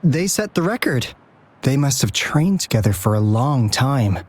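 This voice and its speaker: An actor playing an earnest, hesitant young team leader in a video game, speaking in a measured way. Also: medium pitch, big pitch swings. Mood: downcast, hesitant.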